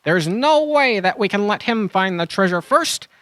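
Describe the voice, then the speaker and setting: A performer playing an eager animal adventurer in a children's audio drama, earnest and expressive. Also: medium pitch, normal speed, no room sound, studio quality, natural voice. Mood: urgent.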